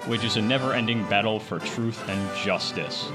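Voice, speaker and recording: A low-pitched male announcer tells an old-time radio adventure serial. His delivery is dramatic and declamatory. Music runs clearly behind his words. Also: normal speed.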